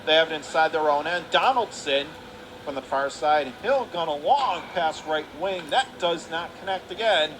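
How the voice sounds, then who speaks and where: A male sports commentator with a notably high voice calls a live hockey game. He speaks in short, clipped phrases and lifts into excitement on big plays. Clear rink noise runs behind him. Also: moderate pace. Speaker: radio play-by-play commentator. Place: indoor ice hockey arena.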